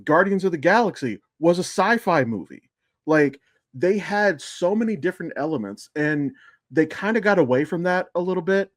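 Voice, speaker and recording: A man's voice: a little high, no echo, studio quality. A man co-hosting a podcast with casual, emphatic commentary on shows and films, explaining at length and swearing freely. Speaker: podcast co-host.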